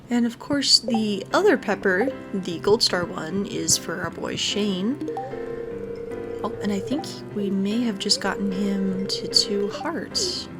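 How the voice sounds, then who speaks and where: A woman's voice: medium pitch, expressive, slow. A woman giving casual let's play commentary as the host of a gaming video. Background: music.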